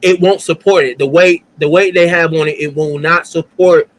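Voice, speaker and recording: High-voiced man explaining his point. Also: steady tone, moderate pace. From Cleveland area. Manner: explanatory, agreeable, laughs often. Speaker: podcast co-host. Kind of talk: casual sports chat.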